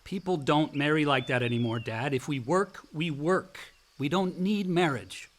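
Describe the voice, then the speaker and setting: An actor playing a sardonic, easily exasperated young adult son in a naturalistic radio play, speaking in casual, slangy, profane phrases. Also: male voice, on the high side, moderate pace, a little hiss or hum, lightly acted.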